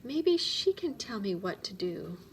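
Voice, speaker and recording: A woman's voice: medium pitch, very animated, unhurried, a little hiss or hum, pitched below the performer's own voice. A woman voicing a young male chipmunk in a children's storybook, childlike, self-conscious and earnest. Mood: worried, tentative. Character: shy, self-conscious little boy chipmunk with loose tooth.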